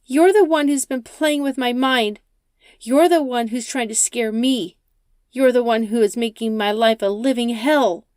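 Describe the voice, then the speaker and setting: A woman's voice: fairly high pitch, measured pace, clear, resonant tone, dry recording, clean studio sound, pitched above the performer's own voice, close to an everyday voice. A woman narrator playing a distraught, hostile young hitchhiker in an audiobook, with an accusing, emotional delivery. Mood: enraged. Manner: shouting, tearful, accusing.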